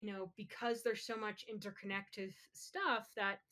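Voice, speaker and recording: A woman's voice: medium pitch, fairly even intonation, measured pace, pushed and bright. A woman co-hosting a podcast in casual, opinionated chat, talking emphatically and swearing freely. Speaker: podcast co-host. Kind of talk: casual chat, opinionated pop culture commentary.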